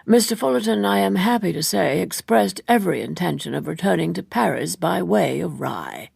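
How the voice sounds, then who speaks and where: A woman reads as a courtly older gentleman of letters in an audiobook. His speech is measured, formal and ornate, with heavy creak and a husky edge. The sound is dry and close. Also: on the low side, animated, dry recording, exaggerated voice.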